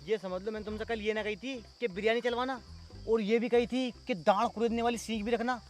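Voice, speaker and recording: An actor playing a wily, flattering village election fixer who hustles for money in a comedy sketch. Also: male voice, high voice, soft background music, lightly acted. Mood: indignant. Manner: flattering, fast-talking hustler. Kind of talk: rustic comedy sketch acting.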